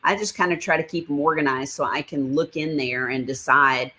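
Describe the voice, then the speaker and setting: A woman's voice: sits low, fairly quick, pushed and bright. A woman hosting a casual livestream, rambling about her craft supplies and reading viewer comments aloud. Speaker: livestream host.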